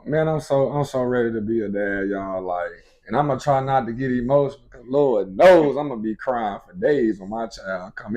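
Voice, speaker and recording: A man in his late twenties talks casually to his viewers, loose and unhurried. His voice sits at an ordinary middle pitch, and his speech is full of easygoing filler phrases. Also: a little mellow, lo-fi sound. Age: 20s. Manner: laid-back, frequent fillers like "you feel me". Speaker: vlogger, expecting father. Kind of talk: casual couple Q&A chat.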